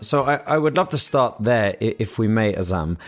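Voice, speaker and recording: A man's voice: lowish voice, expressive, normal speed. A British man hosting a podcast interview in a polite, conversational way with hesitant phrasing. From Britain.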